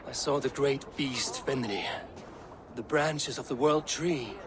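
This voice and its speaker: An actor playing a loyal, blunt Viking warrior in a video game, earnest and plain-spoken. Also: male voice, medium pitch, takes it slowly, a crowd in the background. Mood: troubled. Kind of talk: dramatic video game acting.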